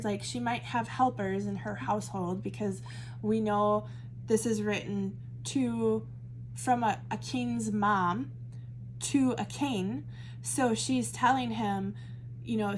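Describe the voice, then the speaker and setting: A woman's voice: mid-range voice, a little hiss or hum, poor audio. A Midwestern American woman leading a Bible study, talking casually and thinking aloud with fillers and self-corrections. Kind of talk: casual teaching talk to camera.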